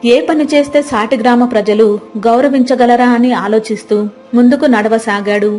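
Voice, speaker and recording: A woman's voice: a little high, fairly even intonation, normal speed, a little mellow, soft background music. A woman storyteller reading a scripted moral tale as narrator.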